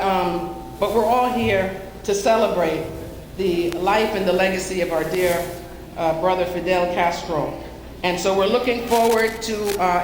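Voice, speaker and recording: A woman speaking as master of ceremonies at a memorial tribute, in an earnest, formal public-speaking manner with halting pauses. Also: mid-range voice. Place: large packed hall at a live event. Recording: echoey, reverberant hall, clearly noisy.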